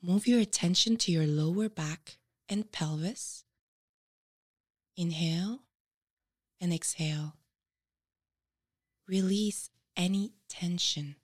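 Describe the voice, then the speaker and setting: A woman leading a guided meditation, giving measured instructions with frequent pauses. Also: on the low side, darkish tone.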